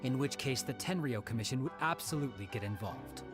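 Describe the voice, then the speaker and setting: A man's voice: medium pitch, animated, fairly quick, music in the background, natural voice. A man playing a courteous, talkative detective in a video game, speaking politely and emphatically. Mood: explaining. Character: chatty, courteous detective with an animated temper.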